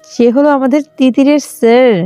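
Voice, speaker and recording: A woman's voice: high voice, fairly even intonation, a little fast, warm tone, smooth, no room sound, faint music behind, lightly acted. A woman playing a teasing, outspoken young best friend in a romantic audio story. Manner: teasing, blunt.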